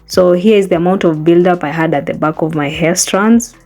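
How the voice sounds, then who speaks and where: A woman's voice: fairly low pitch, a little fast, a little mellow, faint music behind. A young woman whose first language is Swahili, chatting casually to camera in a small bedroom about her hair routine, earnest and coaxing. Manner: chatty, earnest, coaxing the viewer with repeated tags. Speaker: hair care vlogger. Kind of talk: casual how-to chat to camera.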